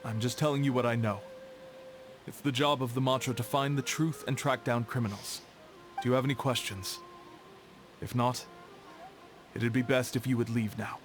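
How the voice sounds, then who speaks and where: A man playing a sober, matter-of-fact archivist in a video game, explaining things in a measured way. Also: mid-range voice, fairly even intonation, over music, natural voice.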